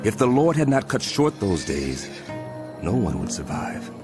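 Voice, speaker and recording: A grave scriptural teacher, acted by a man, delivers solemn warnings in a low voice with dramatic swells and falls. The phrasing is deliberate and emphatic, and prominent music runs underneath. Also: very animated. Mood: urgent warning.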